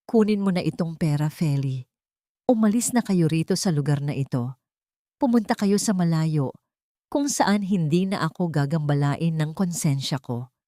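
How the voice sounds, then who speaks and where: A woman's voice: deep voice, expressive, normal speed, warm tone, dry recording, studio quality. A woman voicing a wealthy, proud man burdened by guilt in a dramatic audio story. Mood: cold.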